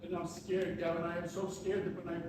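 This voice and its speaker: An actor on stage playing an intense, obsessive, fearful painter, speaking haltingly with repeated words. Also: male voice, high voice, completely flat delivery, lightly acted. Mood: frightened, confessional. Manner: halting, repeats words, builds into urgent questions. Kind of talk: live stage play acting. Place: tavern theater performance, recorded from a distance. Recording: echoey room, far-off mic, clear noise.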